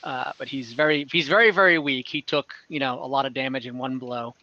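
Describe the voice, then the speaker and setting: A man narrating an online fantasy game as game master, conversational and halting, with some light amusement. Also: mid-range voice, pushed and bright, no echo, lo-fi sound.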